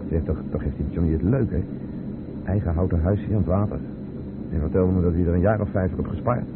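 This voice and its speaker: An actor playing an easygoing, earthy, bantering boyfriend in a naturalistic radio play, talking colloquially on a tinny, noisy old recording. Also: male voice, low voice, moderate pace, pauses often, close to an everyday voice. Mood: casual, bantering.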